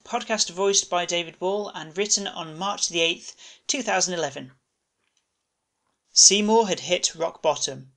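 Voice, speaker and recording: A man's voice: a little high, vocal fry, bright, forceful tone. A man reading a scripted comic story as its narrator. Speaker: narrator and writer.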